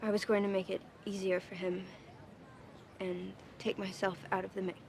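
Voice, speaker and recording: A young American woman's voice, a little high, an actress playing an earnest and defensive daughter of nineteen in a TV drama. Slight noise sits behind her. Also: moderate pace, natural voice. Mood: subdued, ashamed. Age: young adult. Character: defensive, earnest nineteen-year-old daughter tangled in an affair. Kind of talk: television drama acting.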